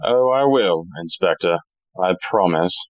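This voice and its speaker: A woman's voice: extremely low pitch, animated, measured pace, dry recording, lo-fi sound. A woman playing an earnest, polite young man in an audio play. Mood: contrite.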